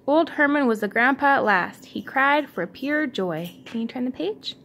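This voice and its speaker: A woman's voice: sits high, animated, moderate pace, a bit bright. A mother reading a storybook aloud to her young child at home, in an encouraging way. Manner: encouraging, asks the child questions. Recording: home recording with faint background noise.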